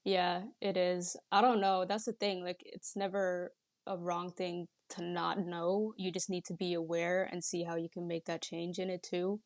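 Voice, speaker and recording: A woman's voice: on the low side, flat delivery, normal speed, no room sound. A young woman from the Netherlands, chatting casually and reflectively as a podcast guest. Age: young adult. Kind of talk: casual reflective interview chat.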